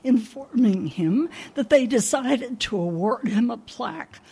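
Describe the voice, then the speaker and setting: A woman's voice: a little high, expressive, a little slow, gravelly, mellow, slightly rough, exaggerated voice. A woman playing a sweet, absent-minded older landlady who rambles forgetfully in a radio comedy. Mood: excited.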